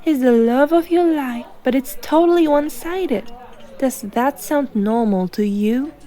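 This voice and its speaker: A woman plays a young female mentor in a fan-dubbed comic. Her voice is high and mellow, breezy and persuasive, with a bossy, scheming streak under the friendliness. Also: unhurried. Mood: blunt, pushy. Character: sly young woman coach posing as caring friend. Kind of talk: fan-dub comic voice acting.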